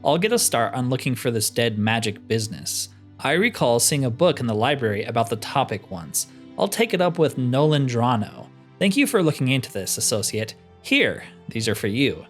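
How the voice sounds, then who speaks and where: A man voicing a polite fantasy guild mage from a roleplaying game, measured and slightly formal. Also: medium pitch, big pitch swings, faint music behind, lightly acted. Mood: courteous, reassuring. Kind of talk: reading roleplay game dialogue aloud.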